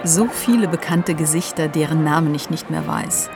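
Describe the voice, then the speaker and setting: A woman from Transylvania narrating a personal documentary in a reflective, measured way. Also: deep voice. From Transylvania, Romania. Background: music.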